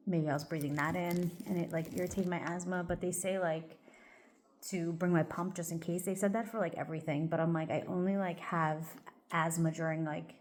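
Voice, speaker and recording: A woman's voice: on the low side, flat delivery, fairly quick, a little mellow, a little hiss or hum. A woman vlogging in a casual get-ready-with-me chat. She rambles loosely, with plenty of "like" and "um", and sounds a little congested.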